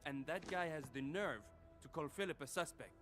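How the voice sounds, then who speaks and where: A man's voice: fairly high pitch, moderate pace, fairly bright tone, faint music behind, close to an everyday voice. A man playing an earnest, worried worker in a video game, speaking emphatically in defense of his friend.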